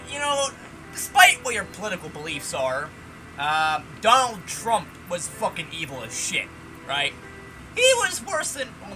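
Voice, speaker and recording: A man reading a visual novel aloud and breaking off into animated, sweary commentary. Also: high voice, poor audio. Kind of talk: reading game text and ranting commentary.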